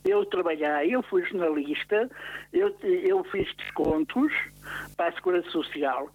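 A man's voice: high-pitched, expressive. Older Lisbon man calling in by phone. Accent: European Portuguese. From Lisbon, Portugal. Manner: halting, earnest, frequent hesitations. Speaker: radio caller. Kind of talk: call-in radio complaint. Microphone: telephone. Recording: phone line.

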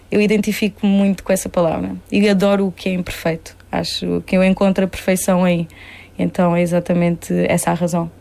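Lively young woman singer chatting. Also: medium pitch, vocal fry. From Portugal (born there, raised in a Spanish-speaking home). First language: Spanish. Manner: animated, laughs often, mixes in English fillers. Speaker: singer-songwriter guest. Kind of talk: casual interview chat.

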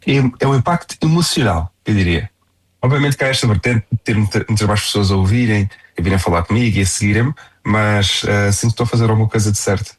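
A man's voice: on the low side, quick pace, husky. A young man with a European Portuguese accent, chatting casually as a singer-songwriter in an interview.

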